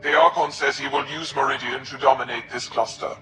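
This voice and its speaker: An actor playing a proud, calculating alien military commander in a video game, speaking formally and with measured authority. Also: male voice, mid-range voice, steady tone, loud music behind the voice, close to an everyday voice. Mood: contemptuous. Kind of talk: video game character acting.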